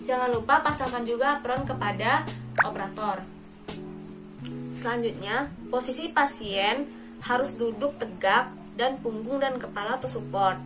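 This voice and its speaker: Young woman, highish voice, explaining steps like a training video, loud music behind her. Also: a little slow, long pauses, low-quality recording. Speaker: student demonstrating dental radiography procedure. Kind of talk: instructional narration and scripted patient explanation. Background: loud background music.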